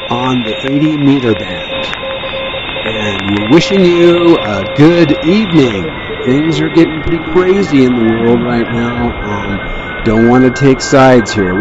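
A male radio host talking casually on air in a relaxed, rambling way, with many fillers. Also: medium pitch, muffled recording. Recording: noisy shortwave radio reception.

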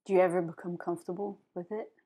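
A young woman with a slightly low voice hosts a casual podcast chat. Her questions wander, and she fills them with "like" and "you know" while she hesitates. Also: steady tone, fast, warm tone. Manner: rambling, heavy "like" fillers, hesitant. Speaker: podcast host.

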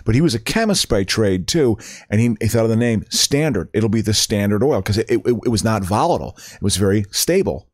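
A man's voice: lowish voice, animated, brisk, few pauses, no room sound, studio quality. A man co-hosting a podcast, chatting loosely with stammers and laughs. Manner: rambling, laughing, stammering restarts. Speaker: podcast co-host. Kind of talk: casual chat.

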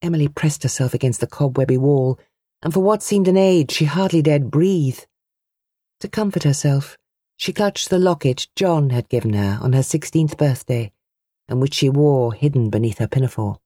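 A woman's voice: low voice, moderate pace, warm tone, slightly rough, no echo, studio quality. A woman reading a novel aloud as an audiobook narrator, in a dramatic storytelling style.

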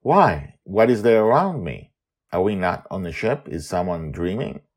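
A man's voice: low-pitched, big pitch swings, moderate pace. A man voicing a blind young mother, loving and anxious, asking eager questions in a raised put-on voice. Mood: worried.

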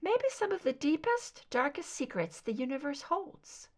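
A woman voicing a composed, mysterious female captor in a clear, soft, delicate soprano, cryptic and deliberate in audio drama style. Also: high-pitched, big pitch swings, voice pushed up. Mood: ominous, intent.

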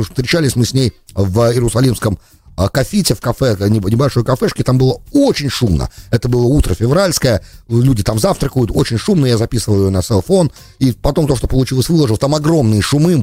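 A man's voice: medium pitch, warm tone, no echo. A man hosting a political talk radio show, speaking in a rambling, argumentative monologue that is full of self-corrections.

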